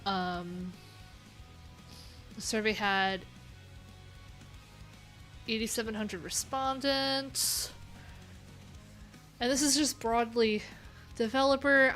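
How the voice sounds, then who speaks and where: A woman's voice: fairly high pitch, steady tone, slow, long pauses, a bit bright. A young adult woman hosting a coding livestream, talking casually and rambling with lots of fillers, with faint music in the background. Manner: chatty, rambling, many "like"s and "um"s.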